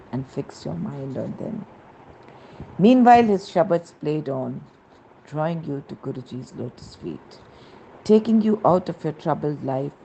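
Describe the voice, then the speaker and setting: Low, creaky-voiced woman reading devotional text. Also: warm tone, a little hiss or hum, low-quality recording. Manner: reverent, measured, emphatic phrasing. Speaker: narrator reading a devotional book. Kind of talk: devotional scripted narration.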